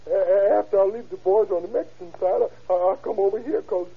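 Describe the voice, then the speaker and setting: A man's voice: high voice, quick pace. An actor in an old radio crime drama playing a frightened, stammering murder suspect with folksy, ungrammatical speech, pleading as he breaks under questioning. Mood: nervous confessing. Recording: tinny, narrow-band vintage radio recording.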